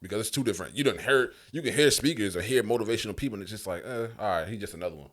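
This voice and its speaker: A man with a deep voice bantering casually as a podcast co-host in a slangy, teasing way. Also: very fast, a bit bright.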